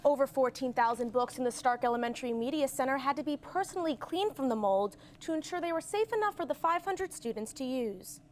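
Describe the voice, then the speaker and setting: High-pitched young woman reporting news. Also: lively intonation, a little fast, hardly any pauses, slight background noise. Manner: formal broadcast delivery.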